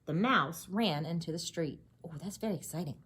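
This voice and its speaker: A woman with a husky voice reading a children's story aloud expressively, pausing to explain and ask questions. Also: fairly low pitch. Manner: expressive, explains and asks questions to young listeners. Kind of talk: animated read-aloud of a children's story with asides.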